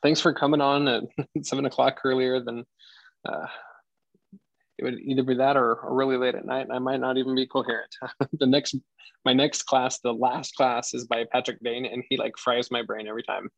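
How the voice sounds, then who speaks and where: A man leading a casual online discussion group, soft-spoken and relaxed, laughing often as he wraps up over a video call. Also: mid-range voice, moderate pace, vocal fry, dry recording, lo-fi sound.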